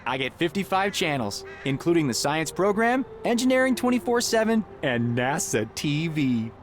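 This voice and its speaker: An actor playing an earnest, excitable young rocket inventor in an adventure game, with a boyish, easily flustered, eager manner. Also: male voice, fairly high pitch, normal speed, a little hiss or hum. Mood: musing.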